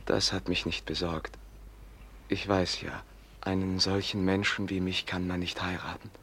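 An actor in a 1950s radio play voicing a gentle, anxious young prince who speaks earnestly and pleads. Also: male voice, deep voice, flat delivery, measured pace, a little hiss or hum. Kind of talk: 1950s radio drama acting.

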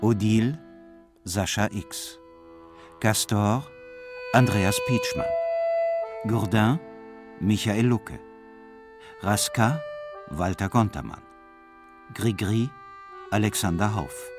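A male announcer reads scripted radio play credits. His voice is on the low side and very unhurried. Faint guitar music runs underneath.

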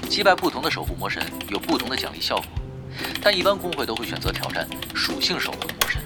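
A man playing a patient guild leader in a gaming audio drama. He explains briskly and instructively with lively pitch movement, under loud music. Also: lightly acted. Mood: patient, explaining. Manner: instructive, orderly. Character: patient, organised male online game guild leader.

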